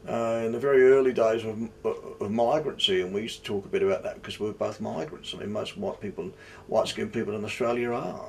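A man's voice: lowish voice, steady tone, quick pace, gravelly, darkish tone, a little hiss or hum, poor audio. An older man in an interview, telling fond, thoughtful stories about a friend in a book-lined room. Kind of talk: reminiscing interview. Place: room full of books, indoors.

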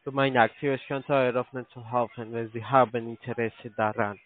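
A man's voice: mid-range voice, fairly even intonation, normal speed. A man with a foreign accent in German, working as a call centre account operator, formal and insistent on the phone. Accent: foreign, non-native German. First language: not German. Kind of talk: phone sales pitch. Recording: dull, noisy phone line with clicks.

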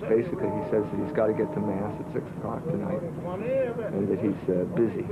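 A man's voice: on the low side, flat delivery, fast talker, over a noisy crowd. A man, a journalist, speaking casually in an interview, with restarts as he talks, recorded outdoors in a town. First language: English. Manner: conversational, with restarts. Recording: phone-like band, loud street noise.

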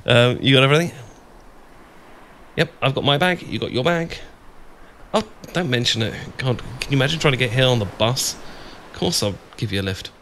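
A man's voice: mid-range voice, animated, normal speed, a bit bright, lightly acted. A British man playing an adorkable, bashful young friend in an ASMR boyfriend roleplay, hesitant, stammering and rambling. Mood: relaxed, chatty. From Britain. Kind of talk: ASMR boyfriend roleplay, improvised-sounding casual chat. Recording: clear background noise with ambience.

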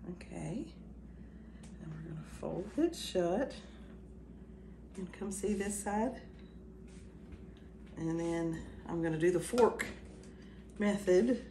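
A middle-aged woman casually talking through a cooking demonstration in her home kitchen, unhurried and unsure of herself in little asides. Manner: unhurried, self-doubting asides, lively pitch. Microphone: far camera. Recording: echoey kitchen, camera far off, background noise.

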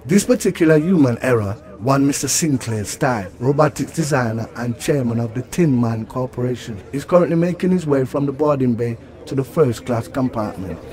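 An actor playing a solemn, sardonic narrator of a science-fiction audio drama, with measured, mock-grave delivery. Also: male voice, medium pitch, expressive, darkish tone, natural voice.

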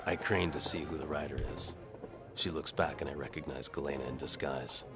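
Man, extremely low voice, wry deadpan first-person narration, noir-style audio drama, prominent music bed. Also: a little fast.

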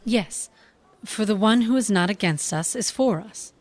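An actress playing an earnest, polite young stage actress, articulate, in a comedy audio drama. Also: female voice, medium pitch, measured pace, lightly acted. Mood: earnest, thoughtful.

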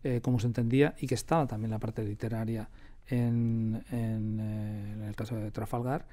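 Mellow Castilian-accented man, conversational. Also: on the low side, little pitch movement, moderate pace. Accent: Castilian Spanish. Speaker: radio drama creator, interviewee. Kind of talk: conversational interview answers. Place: radio drama studio.

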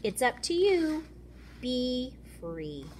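A woman teacher reading a children's picture book aloud in an expressive, instructive way. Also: sits high, drawn-out words, background noise.

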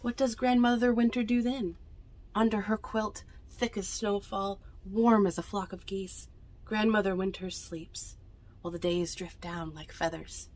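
A young woman reads picture books aloud to children in an unhurried storytelling manner, adding chatty asides about the pictures. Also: medium pitch, fairly even intonation, darkish tone. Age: young adult. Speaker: library storytime reader. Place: indoors among bookshelves in a small library bookmobile.

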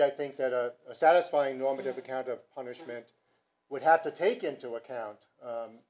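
A middle-aged man moderating a conference session at a podium, speaking in a measured, hesitant way with restarts. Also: mid-range voice, darkish tone. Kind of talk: formal commentary and introduction. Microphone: podium microphone.

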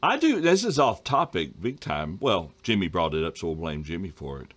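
A middle-aged man hosting a casual Bible study discussion over a video call, reading viewer questions and often clearing his throat. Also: low-pitched, sing-song, moderate pace, a bit bright. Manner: conversational, frequent throat clearing. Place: home study with bookshelves.

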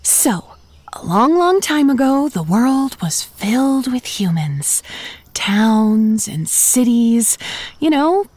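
Levendige, hoge, kletsgrage zombievrouw. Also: female voice, on the high side, sing-song, slow pace, darkish tone, no echo, heavily acted. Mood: sardonic, rambling. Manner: conversational, wry, playful asides. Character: chatty, quirky thirty-something woman zombie narrator. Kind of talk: comedic audio drama monologue, breaking the fourth wall.

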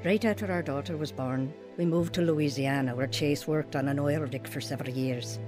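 A woman's voice: low voice, moderate pace, music in the background, natural voice. An actress playing a troubled, heartfelt young wife who tells her life story in a scripted radio drama, with a sincere manner. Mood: reflective. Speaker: actor narrating a radio drama. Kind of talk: scripted first-person dramatized testimony.

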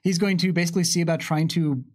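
Guy in his 30s playing a tabletop rpg, slightly high voice, dry and playful. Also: steady tone, quick pace, darkish tone. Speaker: tabletop game player. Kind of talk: casual in-game chat. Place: indoor streaming studio with curtain backdrop. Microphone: desk microphone.

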